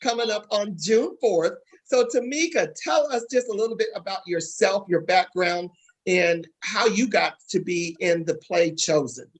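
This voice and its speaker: High-voiced husky man hosting. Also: a little slow. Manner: affirming, interjects often. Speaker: host interviewing stage play cast. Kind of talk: casual panel interview.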